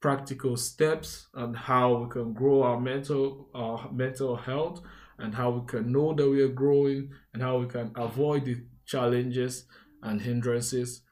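A man's voice: mid-range voice, fairly even intonation, a little slow. A young man from Nigeria with a Nigerian accent, hosting a podcast and telling his own life story in an earnest, conversational way. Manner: earnest, conversational, warm asides and shout-outs. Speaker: podcast host. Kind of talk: personal storytelling monologue.

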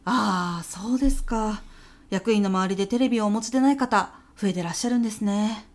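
A woman playing an earnest, polite businesswoman pitching to a client in a comedy audio drama, speaking deferentially. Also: brisk, close to an everyday voice. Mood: polite, accommodating.

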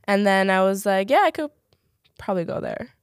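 A young woman actor with a British-tinged accent, chatting casually as an interview guest, full of "like" and quick laughs. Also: mid-range voice, expressive, clean tone, no echo, clean studio sound. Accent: British-tinged English. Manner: chatty, filler-heavy, laughs often.

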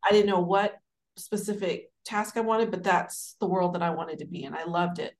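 A woman in her forties from Dallas, telling her career story as an interview guest in a warm, rambling, conversational way. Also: lowish voice, flat delivery, normal speed. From Dallas, Texas. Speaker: guest, city administrator.

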